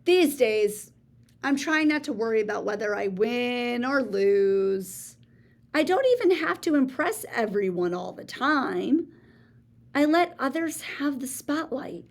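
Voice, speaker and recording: Animated woman reading a picture book. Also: on the high side, measured pace. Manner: expressive storybook reading with gasps and hushed asides. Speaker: children's book reader. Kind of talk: read-aloud storytelling for children.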